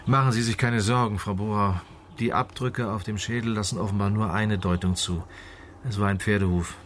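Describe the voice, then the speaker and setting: A man playing a calm, methodical police inspector in a radio crime drama, speaking in a measured, matter-of-fact way. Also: on the low side, a little hiss or hum, close to an everyday voice. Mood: sober, factual. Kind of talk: naturalistic German radio drama dialogue.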